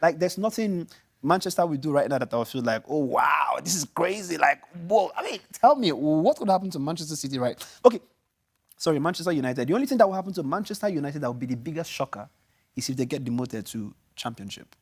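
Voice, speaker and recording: A Nigerian man whose first language is Igbo, co-hosting a casual football chat show, talking in an animated, rambling way with many restarts. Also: on the high side, fast talker, husky. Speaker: show co-host, pundit.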